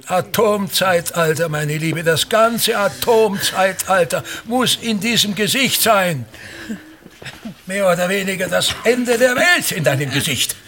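Pompous, swooping theatre tyrant. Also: male voice, sits high, animated, measured pace, sharp tone, a little hiss or hum, heavily acted. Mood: imperious, nagging. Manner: declamatory, contemptuous, obsessively repetitive. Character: pompous, tyrannical ageing actor-manager berating his wife. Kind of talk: theatrical German radio drama monologue.

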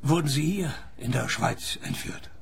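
An actor playing a dry, world-weary, cheeky private detective in a radio play, deadpan and laconic in dialogue and narration. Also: male voice, mid-range voice, a little slow, vocal fry, over a noisy crowd. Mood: dry, sceptical. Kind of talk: radio play acting and first-person narration.

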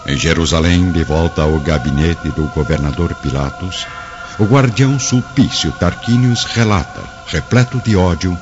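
A man's voice: low voice, moderate pace. A man narrating a radio drama in a dramatic, scripted style. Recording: muffled radio drama under loud music.

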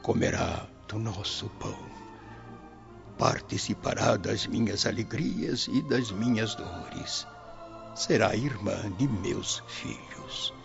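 Noble patriarch spirit for radio drama, male actor, slow and solemn, muffled recording with clear music underneath. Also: lowish voice, full character voice. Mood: solemn devotion. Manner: solemn, declamatory. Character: noble, forgiving patriarch spirit, devoted and gentle.

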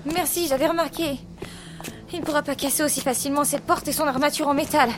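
A woman acting a bold, quick-witted adventurer sister in an audio drama, dry and commanding. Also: sits high, fairly even intonation, natural voice. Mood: wry, determined. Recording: loud music behind.

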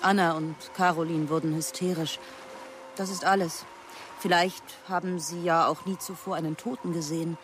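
An actress playing a composed, guarded middle-aged doctor in a radio crime drama, answering in a measured, evasive manner. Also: female voice, on the low side, fairly even intonation, background noise, close to an everyday voice. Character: composed, guarded older half-sister, a female doctor.

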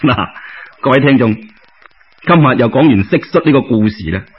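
沙聲粵語男講古佬. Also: male voice, medium pitch, husky. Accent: Cantonese. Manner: colloquial, expressive, with exclamations and drawn-out particles. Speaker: storyteller, radio show host. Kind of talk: traditional Cantonese storytelling narration. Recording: dull lo-fi radio-style recording.

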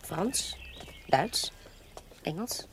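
Determined, shrewd aunt in a period audio drama: low, commanding, self-assured. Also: female voice, animated, drawn-out words, fairly bright tone, slight background noise, exaggerated voice. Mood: assured, instructive. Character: determined, shrewd woman posing as a baroness, protective aunt.

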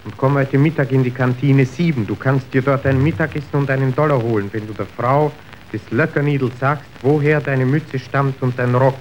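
An actor playing a slick, manipulative factory official in a radio drama, speaking in a measured, officious, persuasive way. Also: male voice, medium pitch, steady tone, a little mellow, lightly acted. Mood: instructing, cool. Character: slick, calculating male factory boss's agent, smoothly manipulative. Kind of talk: stage-like German radio drama acting. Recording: dull, noisy old radio recording.

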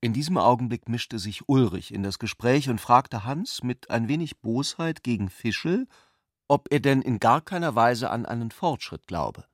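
A man narrating a literary radio drama in an articulate, measured way. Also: mid-range voice, expressive, no echo, studio quality.

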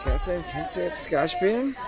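An earnest, dutiful young guy in a radio play. His slightly high voice moves about in pitch, and loud music plays behind. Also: big pitch swings, normal speed, a crowd in the background. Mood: reluctant. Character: earnest, dutiful young male engineer, reluctant partygoer. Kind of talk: radio drama acting.

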